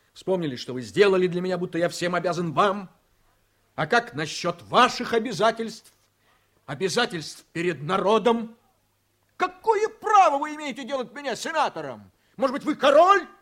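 Blunt, ambitious politician. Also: male voice, sits high, a bit bright, full character voice. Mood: indignant, cutting. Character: blunt, ambitious male politician, confident and sardonic. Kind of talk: Russian radio drama acting.

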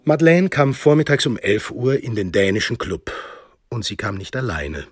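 A man's voice: mid-range voice, big pitch swings, normal speed. A man narrating a radio drama in a scripted, literary storytelling style. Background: music.

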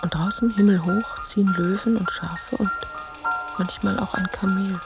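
A woman's voice: medium pitch, fairly even intonation, normal speed, dull audio, loud music behind the voice, natural voice. A woman playing a melancholy, dreamy, independent young woman in an introspective, poetic radio play monologue. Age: young adult.

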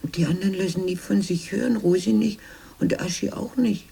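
An actress playing an anxious, bitter, traumatised grown-up sister in a radio drama, in a husky, breathy, low voice with a fretful, accusing manner. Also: female voice, fairly quick, mellow, heavily acted. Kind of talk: 1980s German radio drama acting.

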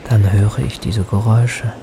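An actor playing a haunted man in a radio drama, remembering in halting, hushed, dreamlike fragments. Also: fairly low pitch, fairly even intonation, gravelly, background noise. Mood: haunted, entranced. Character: haunted man recalling a strange stormy night. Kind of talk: slow poetic radio drama monologue. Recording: rain and thunder behind voice. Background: rain and thunderstorm.

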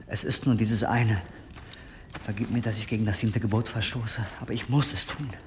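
A man's voice: on the low side, steady tone, moderate pace, slight background noise, natural voice. A young actor in a German audio drama playing an anxious, pious monk who mutters under his breath and pleads with strain. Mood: furtive, guilty. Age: young adult.

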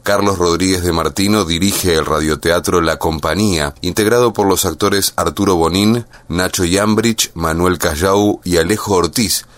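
Low-voiced man narrating, very dry sound. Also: normal speed, hardly any pauses, a bit bright, no room sound. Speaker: radio announcer. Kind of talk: scripted informative narration. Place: dry studio voice-over.

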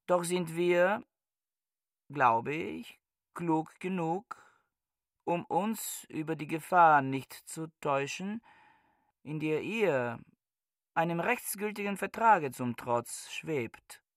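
An actor playing an imperious, reclusive scholar who speaks in a stilted, formal, oratorical manner in a radio play. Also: male voice, on the high side, animated, slow, pauses often. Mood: solemn, oratorical.

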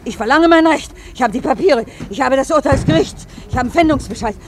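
Stubborn old woman in radio drama: high voice, quick, agitated, insistent on her rights. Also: lively intonation, background noise. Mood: desperate, pleading. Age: older. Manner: insistent, agitated, repeating herself. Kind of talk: German radio play acting.

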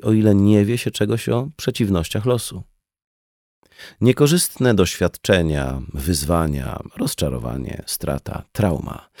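A man's voice: low-pitched, dark tone, no echo, studio quality. A man reading a scripted audiobook dialogue as a narrator. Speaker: audiobook reader.

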